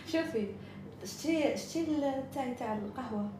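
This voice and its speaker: A woman's voice: sits high, normal speed, reverberant, noisy background. A woman with an Algerian accent chatting casually on a live stream, jumping between topics and mixing in French and English words.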